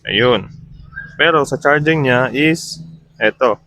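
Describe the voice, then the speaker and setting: A man's voice: mid-range voice, takes it slowly, bright, forceful tone, no echo. A man from the talks through a hands-on repair. He is informal and practical, explaining each step as he goes. English technical terms are mixed into his speech. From Philippines. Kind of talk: casual repair demo vlog.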